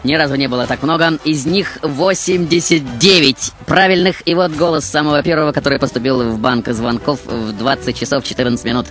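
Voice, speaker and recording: A man's voice: medium pitch, fairly quick, few pauses, fairly bright tone. A man hosting a radio show in a dramatic, showman-like manner. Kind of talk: theatrical radio show presenting. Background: music.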